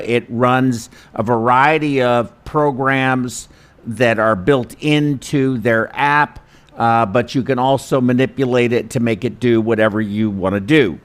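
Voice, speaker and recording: A man hosting an informal instructional webinar, talking in a chatty, self-deprecating, halting way. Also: medium pitch, fairly bright tone, smooth. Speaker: host and perfusionist instructor. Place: studio.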